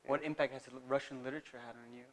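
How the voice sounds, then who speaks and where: A man's voice: medium pitch, fairly even intonation, racing, low-quality recording. A young man, a student interviewer, asking questions in a friendly, encouraging way with frequent short affirmations. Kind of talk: casual interview.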